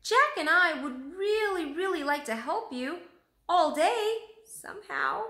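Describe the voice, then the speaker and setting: A woman's voice: extremely high pitch, lively intonation, unhurried, pitched above the performer's own voice, exaggerated voice. A woman voicing an eager, bold, friendly young girl in a children's storybook. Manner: eager, bright-spirited. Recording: roomy echo.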